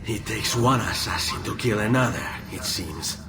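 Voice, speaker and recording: An actor voicing a resolute young male assassin in a video game, terse and steady. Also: on the low side, moderate pace, vocal fry, music in the background. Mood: somber. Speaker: game character.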